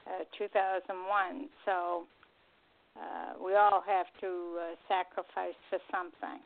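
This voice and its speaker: An older woman chats on a radio show with a fairly low voice and a noticeable creak. She comes through a narrow phone line. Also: flat delivery, a little slow. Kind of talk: casual chat.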